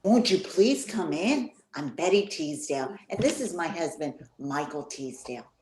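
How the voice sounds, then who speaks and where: Housewife soothing a fussy husband played by an older woman: lowish, animated, amateur radio comedy. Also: moderate pace, roomy sound, slight background noise. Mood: polite. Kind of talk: amateur comic radio play acting.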